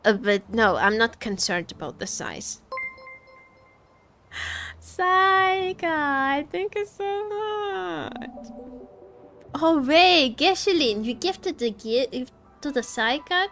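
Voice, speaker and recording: A woman's voice: high-pitched, big pitch swings, a bit bright. A woman streaming a video game, chatting casually and playfully with her viewers and given to exclaiming and joking. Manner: playful, exclaiming, quick to joke. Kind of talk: casual live gameplay chat with viewers. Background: background music.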